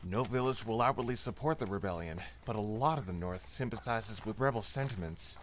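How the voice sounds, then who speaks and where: A man's voice: lowish voice, brisk, a little hiss or hum, lightly acted. A man acting a protective, practical, casual traveling companion in an audio drama. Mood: matter-of-fact.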